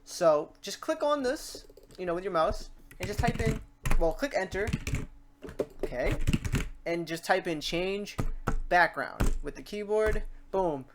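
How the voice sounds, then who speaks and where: Animated, informal tutorial host with a mid-range voice. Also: medium pitch, measured pace, background noise. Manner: animated, informal slang, acts out learner questions and exclamations. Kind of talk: casual software tutorial, talking the viewer through steps.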